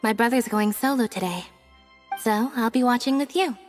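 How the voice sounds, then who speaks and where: An actress voicing a quiet, understated young woman in a video game. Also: on the high side, normal speed, long reverb tail, soft background music, close to an everyday voice. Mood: deadpan.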